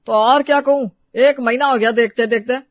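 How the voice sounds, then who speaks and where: A man playing disgruntled, fussy men of a household in an animated family story. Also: extremely high pitch, normal speed, no room sound, muffled recording. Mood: irritated. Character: disgruntled husband and fussy elderly father-in-law of a household.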